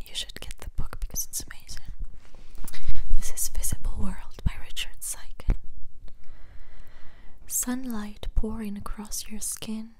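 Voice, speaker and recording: A woman speaking in a hushed, breathy whisper in an intimate, measured ASMR style, as if reading poetry. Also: medium pitch, rough recording. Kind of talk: soft-spoken ASMR poetry reading and chat.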